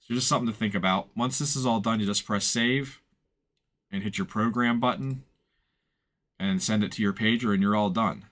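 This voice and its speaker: A man narrating an informal software walkthrough in a plain-spoken, explanatory way, hedging as he goes. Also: on the low side, normal speed. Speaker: tutorial presenter and firefighter.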